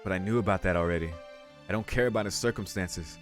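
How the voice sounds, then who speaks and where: A man's voice: low-pitched, fast talker, faint music behind. A man reading visual novel narration aloud dramatically as a let's play host.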